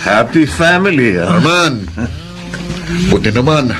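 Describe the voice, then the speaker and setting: A man's voice: medium pitch, expressive, a little slow, noisy background, close to an everyday voice. A man with a Filipino accent playing an affectionate, reassuring groom and new stepfather in a radio drama, in a tender, genial manner. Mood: happy, affectionate. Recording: loud music under the voice.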